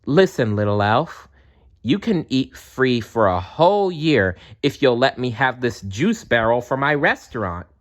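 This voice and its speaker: A man's voice: medium pitch, sing-song, measured pace, pitched above the performer's own voice. A man voicing a businesslike, persuasive female frog from a storybook. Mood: bargaining. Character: bargaining female frog with a restaurant. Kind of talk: storybook read-aloud.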